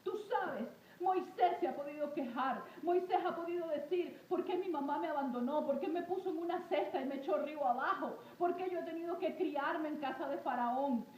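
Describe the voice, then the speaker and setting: A woman's voice: sits high, moderate pace, few pauses, a little mellow. A woman leading a fervent prayer and exhortation, speaking emphatically and repetitively with frequent invocations. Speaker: prayer leader preaching to an online audience. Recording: roomy echo, faint background noise.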